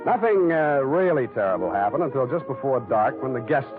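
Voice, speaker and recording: A man playing a wry, wisecracking private detective in 1940s radio style, deadpan and flippant. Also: mid-range voice, fairly quick, over music, natural voice. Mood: wry narration. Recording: narrow vintage radio recording.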